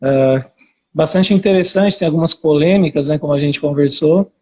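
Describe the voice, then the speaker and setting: A man's voice: a little high, moderate pace, dark tone. A talkative man of around forty with an interior São Paulo Brazilian accent, telling his own story casually as a researcher being interviewed on a live video call. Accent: interior São Paulo Brazilian Portuguese. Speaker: academic researcher, interview guest.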